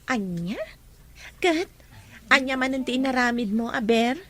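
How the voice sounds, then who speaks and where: A woman's voice: high voice, expressive, measured pace. A woman playing a breezy, teasing female friend in an Ilocano radio drama. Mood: teasing, curious.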